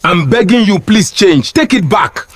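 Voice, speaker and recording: A man's voice: sits high, animated, measured pace, breathy edge, no echo, full character voice. An actor playing a stern, proud father and family head in a village folktale. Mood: pleading.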